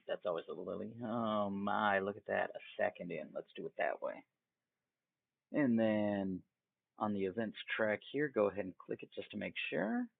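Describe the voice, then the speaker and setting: A phone-like, dry recording carries a man hosting a casual software tutorial. His lowish voice is thick with fry. He takes his time through each step, informal and folksy, as if thinking aloud. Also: no room sound. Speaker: tutorial host. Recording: tinny, phone-like narrow band.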